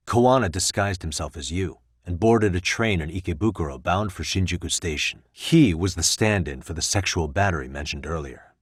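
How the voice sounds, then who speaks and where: A sharp, composed male defense lawyer from a video game, with a low-pitched voice recorded very dry. He speaks in measured, articulate phrases. His questioning is formal, probing and insistent. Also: husky, dry recording. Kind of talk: scripted dramatic courtroom dialogue in a game.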